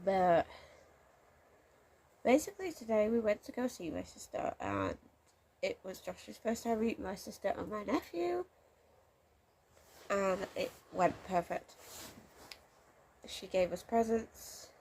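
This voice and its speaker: A young woman with a cold, chatting excitably about her reading in a casual vlog at home. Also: medium pitch, slow pace, pauses often, slight background noise. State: has a cold, snuffly. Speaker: book vlogger. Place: home room under a window, lamp lit.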